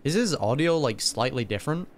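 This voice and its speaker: A man's voice: medium pitch, animated, brisk. A man giving casual, chatty, musing reaction commentary as a video host.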